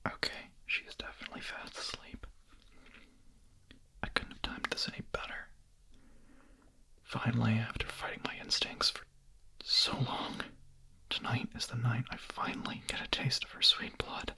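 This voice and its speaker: A man playing a hungry, nervous, lovestruck young vampire in an ASMR roleplay, speaking in a breathy, husky, hesitant whisper. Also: medium pitch, completely flat delivery, sharp tone. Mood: hungry, tender. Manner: hesitant, halting, confessional.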